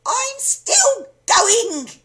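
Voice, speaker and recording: A woman's voice: very high-pitched, huge pitch swings, extremely slow, a bit bright, voice pushed up, exaggerated voice. Woman putting on a screechy wild old granny. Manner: shrieking and whooping. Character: screechy wild old grandmother, gleeful and greedy.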